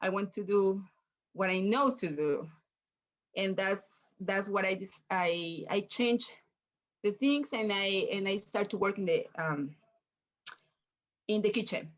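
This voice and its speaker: A woman with a Salvadoran Spanish accent speaks slowly, stopping to restart and repeat her words as she works through her English. She answers interview questions on a video call from her home kitchen. The audio is muffled and dull. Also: medium pitch. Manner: halting, repeats words while searching for English. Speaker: guest chef.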